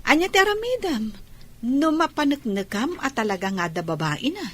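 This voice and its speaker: A woman's voice: sits high, big pitch swings, normal speed, fairly bright tone, full character voice. An actress with an Ilocano accent playing a nosy, motherly woman friend in a radio comedy drama. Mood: probing.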